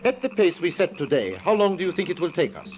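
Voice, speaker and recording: An actor playing a proud, stern Belgian colonial inspector, speaking in formal, clipped lines in a 1940s radio drama. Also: male voice, high-pitched, big pitch swings, fast. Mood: stiff, formal. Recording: muffled old radio recording, crowd noise.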